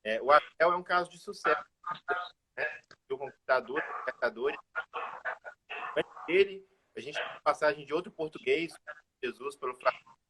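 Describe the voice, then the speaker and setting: A man's voice: on the high side, normal speed, long pauses, pushed and bright, a little hiss or hum, rough recording. A man interviewing a guest, building a long, detailed lead-in before his question.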